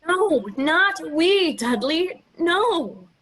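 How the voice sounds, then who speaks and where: Woman acting a timid, very high-voiced young girl. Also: sing-song, fairly bright tone, heavily acted. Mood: frightened pleading. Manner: halting broken English in short pleas. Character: timid, frightened young woman of a far-future people. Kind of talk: radio play acting. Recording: noisy video-call audio with clicks.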